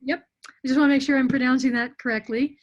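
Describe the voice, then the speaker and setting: A woman hosts an online interview with a somewhat high voice. Her sound is dull and muffled. She reads her introduction in a friendly way, filling gaps with "um". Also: fairly even intonation, fast. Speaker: interview host.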